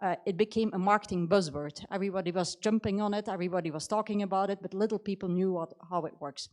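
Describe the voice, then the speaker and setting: A woman's voice: mid-range voice, fast talker, a little mellow. A middle-aged woman speaking English with a Belgian accent and a slight New Zealand tinge, giving a conversational career talk full of "you know". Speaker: conference speaker. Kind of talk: motivational talk about her career.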